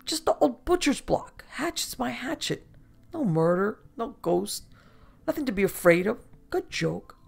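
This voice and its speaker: A woman's voice: on the high side, big pitch swings, a little slow, creaky, husky, pitched above the performer's own voice, exaggerated voice. A woman voicing a drunk, leering male showman who talks in clipped, slurred bits with a wheezy voice. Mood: gloating.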